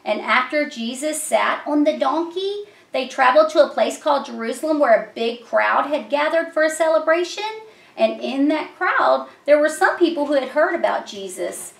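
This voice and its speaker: High-voiced woman teaching children. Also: expressive, normal speed, gravelly. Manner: simple, explanatory. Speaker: children's puppet show host and teacher. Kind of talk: Bible story told to a child puppet. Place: small decorated room indoors. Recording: echoey room.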